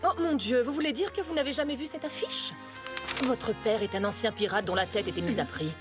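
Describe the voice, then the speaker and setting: An actress playing a smug, blackmailing aristocratic lady in a haughty, mocking cartoon manner with drawn-out emphasis. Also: on the high side, music in the background, lightly acted. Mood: mocking, threatening. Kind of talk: animated series dubbing.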